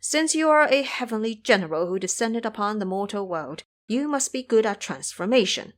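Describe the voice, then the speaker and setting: A woman's voice: medium pitch, animated, normal speed, close to an everyday voice. A woman voicing a timid, courteous king with formal, stately speech in an audio drama. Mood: curious, courteous.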